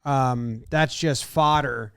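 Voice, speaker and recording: A man's voice: medium pitch, takes it slowly, vocal fry, fairly bright tone, smooth, dry recording, studio quality. A man co-hosting a podcast, chatting casually about sports, rambling and second-guessing himself. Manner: rambling, self-doubting, acts out what he thought. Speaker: podcast co-host.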